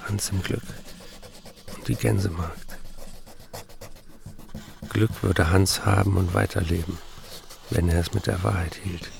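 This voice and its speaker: A troubled male writer in a radio play monologue. The voice is lowish and heavily creaky. He tells his own life in a weary, bitterly ironic way. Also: steady tone, a little slow, long pauses, heavily acted. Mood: wry, measured.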